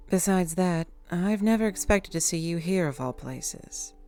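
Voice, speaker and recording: Female Grim Reaper for horror-comedy audio drama, acted by a woman: lowish, measured, dryly formal, music underneath. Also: a little mellow. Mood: dry, questioning.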